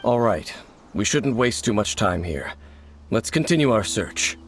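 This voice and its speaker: An actor playing a brooding, secretive wanderer in a video game, speaking in measured, formal, grave phrases. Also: male voice, lowish voice, very animated, a little hiss or hum. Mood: grave, guarded. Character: brooding, secretive male wanderer investigating monsters, solemn and cryptic. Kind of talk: video game character dialogue. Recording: music behind the voice.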